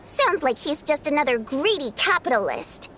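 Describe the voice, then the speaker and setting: A woman playing a proud, easily offended theater singer in scripted video game dialogue, with an expressive, theatrical, pointed delivery. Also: high-pitched, moderate pace, faint music behind. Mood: indignant.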